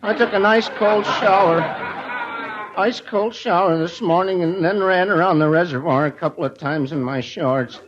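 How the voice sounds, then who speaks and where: A man's voice: sits high, moderate pace, crowd noise, natural voice. An actor playing a mournful mailman who says cheery things gloomily, in 1940s radio comedy style. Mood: mock-cheerful gloom. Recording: noisy, dull old radio recording, audience behind.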